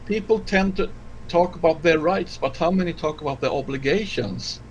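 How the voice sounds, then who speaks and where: A man on a video call speaking in a measured way, with a taste for wordplay. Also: a little high, slight background noise, rough recording. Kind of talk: casual group chat.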